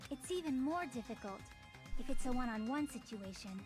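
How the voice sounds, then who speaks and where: A young investigator from an anime game, serious and thoughtful. Her voice is high. Game music is clearly audible behind it. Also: lively intonation, a little fast. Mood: pensive. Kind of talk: anime video game voice acting.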